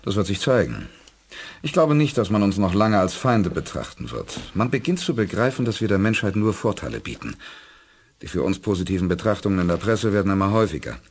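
Low, expressive, self-assured commander. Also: male voice, fairly quick, breathy edge, exaggerated voice. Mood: confident. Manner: composed, authoritative. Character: decisive, self-assured space expedition commander, human man. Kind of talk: 1980s German radio play acting.

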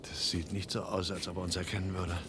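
An actor playing a cautious, earnest adult son on an urgent, forbidden search in a science-fiction radio play. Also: male voice, level tone, quick pace, close to an everyday voice. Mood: wary. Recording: noisy vintage radio play, low rumble.

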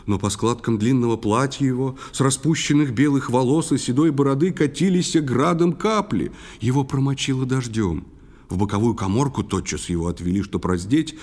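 A man giving expressive scripted verse narration for an audio play, with a long reverb. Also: medium pitch. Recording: long reverberant hall sound.

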